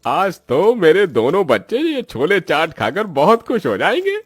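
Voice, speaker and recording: A man's voice: high voice, wildly swooping pitch, a little slow, husky, no echo, studio quality, full character voice. A man voicing a chatty, enthusiastic street-food customer in a children's cartoon story. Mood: delighted.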